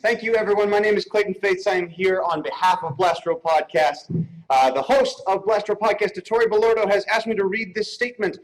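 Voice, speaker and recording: A man's voice: high voice, a little fast, rough recording. A man accepting an award on stage by reading out a comic, mock-pompous formal statement.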